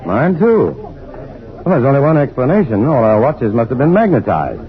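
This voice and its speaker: A man playing a calm, methodical scientist in an old-time radio drama, speaking in a measured, explanatory, decisive way. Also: medium pitch, crowd noise, close to an everyday voice. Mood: calm, puzzled. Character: calm, methodical male scientist, sober and quick-thinking. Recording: muffled, narrow-band old radio, faint noise.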